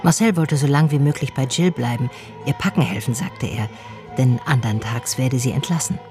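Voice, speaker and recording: An actor playing an attentive, bookish, quietly concerned man who speaks and narrates in a radio drama. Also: mid-range voice, moderate pace, faint music behind, natural voice. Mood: reflective narration. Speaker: narrator and main character. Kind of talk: radio drama dialogue and first-person narration.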